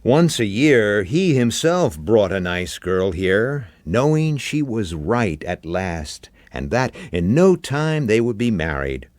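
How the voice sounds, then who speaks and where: Slow, expressive low-voiced male story reader. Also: no echo. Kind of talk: dramatic literary audiobook narration.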